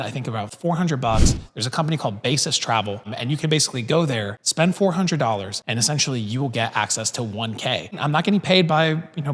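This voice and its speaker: A man's voice: medium pitch, a bit bright. Man talking casually in a reverberant hall. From born in Brazil, raised in the American Midwest. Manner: upbeat, conversational, sprinkled with "right?" and "you know". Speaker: podcast host. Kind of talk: casual talk.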